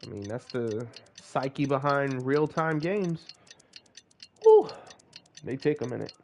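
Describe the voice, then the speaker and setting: A man's voice: mid-range voice, lively intonation, takes it slowly, darkish tone, a little hiss or hum. A man giving casual, playful gameplay commentary as a streamer, talking in a slangy way. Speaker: gaming streamer. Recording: game music behind voice.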